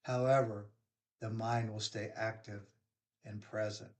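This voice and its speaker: A man leading a guided yoga nidra relaxation, giving soothing, unhurried instructions with pauses. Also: fairly low pitch, little pitch movement.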